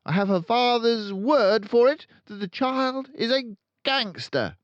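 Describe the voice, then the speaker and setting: A man's voice: extremely high pitch, expressive, measured pace, dry recording, highs cut off, pitched above the performer's own voice. A man voicing a tyrannical, bullying headmistress who barks and bellows in fury, in a children's storybook reading. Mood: outraged. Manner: barking, bellowing, snorting contempt. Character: tyrannical, furious, bullying headmistress, a huge middle-aged woman. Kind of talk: children's audiobook character voice.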